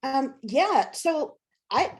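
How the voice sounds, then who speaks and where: A woman's voice: high-pitched, very animated, drawn-out words. Informal American woman scholar thinking aloud. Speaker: scholar and author. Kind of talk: casual interview answers.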